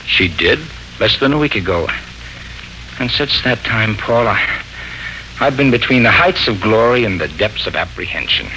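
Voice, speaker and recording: Lovestruck gentleman, lowish and forceful, earnest and florid with nervous hesitations, vintage radio drama, noisy recording. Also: lively intonation, normal speed. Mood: anxious, hopeful. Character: hearty, anxious, lovestruck male suitor. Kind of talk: 1940s radio serial acting.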